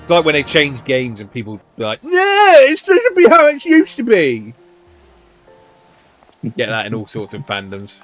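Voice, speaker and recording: A man's voice: on the high side, huge pitch swings, moderate pace, a bit bright. Casual male game streamer, faint music. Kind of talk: casual playthrough commentary, reading game dialogue aloud.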